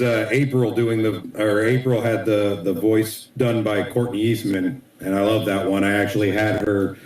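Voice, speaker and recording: Middle-aged guy chatting loose and rambly, lowish voice, roomy echo. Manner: relaxed, rambling with fillers. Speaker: guest artist. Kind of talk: casual podcast chat.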